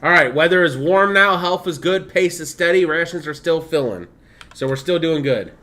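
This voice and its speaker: Male streamer, 30s, blended Midwestern/Southern/Californian accent, slightly high pitch, chatty and laughing. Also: normal speed, pushed and bright. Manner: chatty, laughs often, reads game text aloud. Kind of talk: casual gameplay stream commentary.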